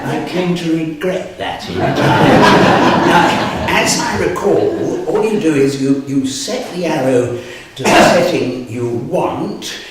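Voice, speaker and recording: An actor playing an eccentric old wizard who mutters theatrically to himself, by turns gleeful and grumpy. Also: male voice, a little high, takes it slowly. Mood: musing. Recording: very echoey room, distant mic, noisy.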